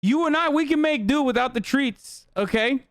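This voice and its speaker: A man's voice: very high voice, a bit bright, no room sound, clean studio sound. A man whose first language is Turkish, ranting heatedly and profanely while reacting on a live stream. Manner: profane, heated, rapid rants. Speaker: Twitch streamer.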